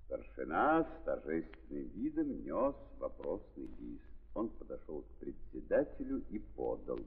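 An actor as a wry literary narrator, reading with deliberate, expressive phrasing in a 1930s theatrical style. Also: male voice, a bass voice, gravelly, husky, exaggerated voice. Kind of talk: scripted dramatic narration, 1930s stage reading. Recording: muffled, tinny 1930s recording, long reverb.

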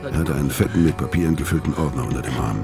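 An actor playing a world-weary career criminal, narrating a noir audio drama in a terse, deadpan way. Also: male voice, extremely low pitch, rapid-fire, creaky. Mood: tense, grim. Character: hard-boiled, world-weary male career criminal narrating his story. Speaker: first-person narrator. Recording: music bed under voice.

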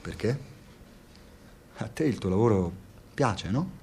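A man's voice: fairly low pitch, animated, slow pace, darkish tone, slight background noise. A wordy, insecure researcher in a naturalistic radio drama. He has an Italian accent and rambles from one thought to the next, needling whoever he is talking to with an intellectual, slightly nervous manner. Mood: teasing.

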